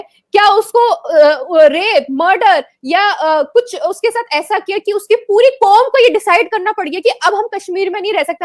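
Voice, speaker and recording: High-voiced woman arguing forcefully. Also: no room sound, studio quality. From Kashmir. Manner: forceful rhetorical questions with frequent hesitant fillers. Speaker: debate panelist. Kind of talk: heated panel debate.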